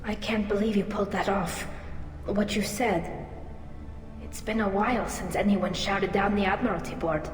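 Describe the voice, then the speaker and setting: A woman playing a young female alien in a video game, earnest and gently wry. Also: mid-range voice, fairly even intonation, normal speed, close to an everyday voice. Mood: grateful, relieved. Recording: echoey hall reverb, music behind.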